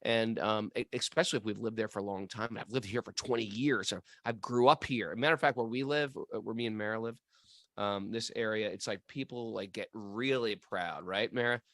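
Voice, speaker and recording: A man co-hosting a relaxed spiritual discussion, thinking aloud in a musing way with frequent restarts. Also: lowish voice, brisk, no echo. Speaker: podcast co-host. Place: home area in New England.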